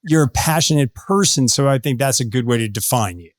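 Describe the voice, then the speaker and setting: A man's voice: mid-range voice, animated, brisk, dry recording, studio quality. A male podcast host asking long, rambling questions with frequent restarts. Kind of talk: conversational interview.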